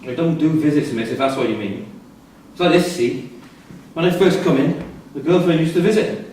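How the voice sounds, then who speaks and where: A man's voice: on the high side, expressive, moderate pace, a little mellow, close to an everyday voice. Male actor for needling, dismissive prison inmate in stage drama, recorded from a distance with faint noise. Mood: guarded. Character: sardonic, provoking male prisoner playing a job interviewer. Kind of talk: staged play reading. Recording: distant echoey hall with background noise.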